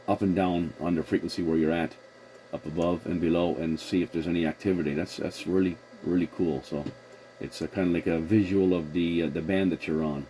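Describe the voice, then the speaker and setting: A man's voice: low voice, mellow. A man hosting a casual CB radio conversation, using radio call procedure and rephrasing as he goes, on a noisy recording. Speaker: CB radio operator and video host.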